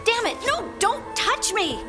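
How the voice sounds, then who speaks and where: A woman's voice: very high-pitched, very animated, measured pace, music in the background, heavily acted. A woman voicing an earnest, plain-spoken dwarf companion in a video game, informal and emotionally open. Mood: agitated, upset.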